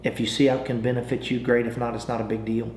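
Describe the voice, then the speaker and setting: A man's voice: medium pitch, little pitch movement, fast talker, darkish tone. An American man giving an informal sales training talk, with a folksy, persuasive salesman's manner, repeating phrases and restarting as he goes. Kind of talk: informal training talk with acted example phone calls. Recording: roomy, echoing sound with faint noise.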